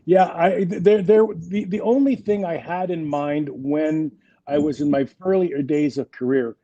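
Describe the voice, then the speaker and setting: A man's voice: on the high side, steady tone, a little slow, dark tone, no room sound, lo-fi sound. A French Canadian man in his sixties, a native French speaker, speaking English in an interview with many fillers and restarts. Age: 60s. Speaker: guest executive. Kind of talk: interview conversation.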